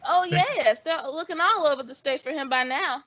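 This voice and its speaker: An actress playing a gossipy, harried small-town telephone operator, chatty and brisk, in old-time radio style. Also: female voice, high voice, pushed and bright, dry recording, highs cut off.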